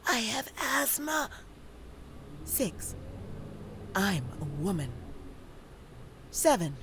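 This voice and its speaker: A woman's voice: high-pitched, fairly bright tone, a little hiss or hum, full character voice. A young woman with an American accent acting a savvy theater student in an audio play, sardonic and theatrical as she talks to the audience. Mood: mock-dramatic. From Detroit, United States. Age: young adult. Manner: theatrical, sardonic, swinging between confiding and performing. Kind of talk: dramatic monologue to the audience.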